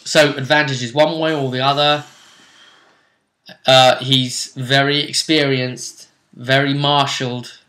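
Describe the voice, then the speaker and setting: A man's voice: medium pitch, little pitch movement, takes it slowly, pushed and bright, reverberant. A man hosting a tabletop role-play show, talking through events in casual recap commentary. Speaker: host of a tabletop role-play tournament vidcast.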